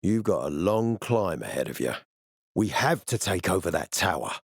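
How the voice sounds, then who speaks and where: A weary gruff military officer in a game, deep-ish voice, measured, authoritative, really dry recording. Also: male voice, very animated, no echo, full character voice. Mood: commanding, measured. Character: gruff, weary military officer, commanding, later a regretful father. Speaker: game character voice actor. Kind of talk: video game voice lines.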